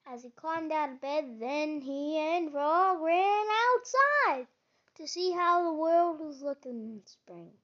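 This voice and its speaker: A child reads a children's story aloud in a halting way, stumbling now and then. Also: sits high, expressive. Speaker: storybook reader. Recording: slightly dull, lo-fi sound.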